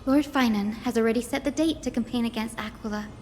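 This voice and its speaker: A woman voicing a gentle, reflective young female scholar in a game, in a long reverb with music behind. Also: on the high side, fast, a little hiss or hum, lightly acted. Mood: wistful. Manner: soft-hearted, reflective. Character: gentle young female scholar, wistful descendant of sky people. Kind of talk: scripted game voice acting.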